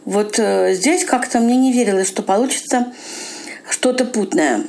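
Woman, fairly high voice, amateur performer giving an informal first-person account for radio. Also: normal speed, vocal fry, a little mellow. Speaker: amateur audio-play actress. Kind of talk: reflective spoken account for a radio feature.

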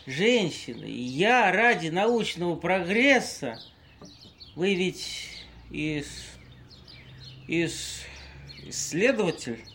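A man playing a drunken, pompous homeless man in an amateur audio play, halting and stumbling over long words. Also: sits high, sing-song, a bit bright, a little hiss or hum, heavily acted. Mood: pompous, eager.

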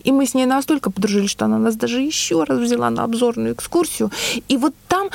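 A woman's voice: fairly high pitch, quick pace, vocal fry, darkish tone, dry recording. Middle-aged woman telling stories in an interview. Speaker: guest, museum worker and tour guide. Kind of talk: conversational radio interview.

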